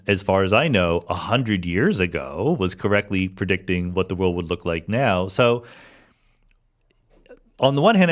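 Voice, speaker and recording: A man hosting a conversational interview podcast, speaking in a measured, thoughtful and articulate way. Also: mid-range voice.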